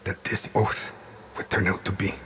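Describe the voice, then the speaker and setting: A man playing a remorseful assassin haunted by his past, in a grave, halting video game monologue. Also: fairly bright tone, a little hiss or hum, close to an everyday voice. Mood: solemn regret.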